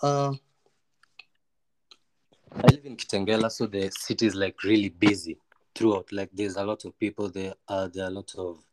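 Low-voiced Kenyan man chatting casually. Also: fairly even intonation, moderate pace, long pauses, heavy background noise. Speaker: podcast guest. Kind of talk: casual chat.

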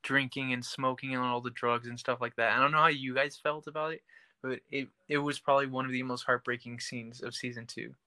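Bright-voiced man in casual podcast chat. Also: medium pitch, steady tone, a little fast, no echo. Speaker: podcast co-host. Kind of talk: casual chat about a TV series.

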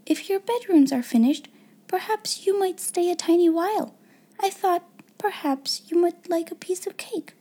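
Woman voicing a high, gentle little well-bred girl. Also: moderate pace. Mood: friendly, inviting. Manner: gentle, polite, well-spoken.

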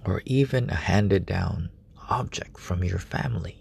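A man preaching softly at night in a relative's room, speaking in a hushed, halting way with many fillers. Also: sits low, gravelly, a little hiss or hum. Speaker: lay preacher. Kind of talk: informal late-night sermon.

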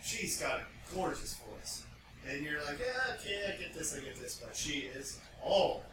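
A man's voice: fairly high pitch, big pitch swings, unhurried. A man co-hosting a podcast in casual, rambling, jokey chat, picked up from several feet away in an echoey, noisy room. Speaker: podcast co-host. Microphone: picked up by co-host's mic about five feet away. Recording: distant, very echoey, noisy off-mic pickup.

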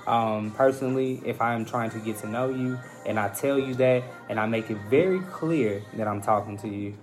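Thoughtful American man with a mid-range voice. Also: medium pitch. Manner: halting, thoughtful, searching for words. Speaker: podcast guest. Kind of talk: casual podcast chat. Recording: faint music behind the voice.

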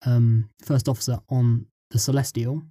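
Mellow man in a dry interview. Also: medium pitch, flat delivery, normal speed, no room sound. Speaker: script writer being interviewed. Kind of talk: casual interview chat.

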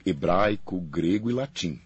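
Deep husky priest. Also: male voice, a little slow, highs cut off. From Paraná, Brazil. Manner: calm, explanatory, repeats key phrases. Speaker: priest and faculty representative. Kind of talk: interview guest explaining at length.

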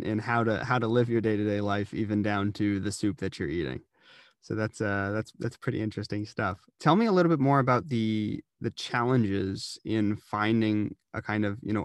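Man, interviewer, low voice, rambling questions, repeats words, dry recording. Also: no echo.

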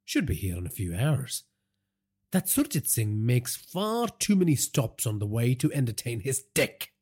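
Man playing calm, dutiful son, husky voice. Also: medium pitch, voice pushed up, exaggerated voice. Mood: businesslike. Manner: measured, deferential, reassuring. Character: dutiful, calm adult son of crime boss. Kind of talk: audiobook character dialogue.

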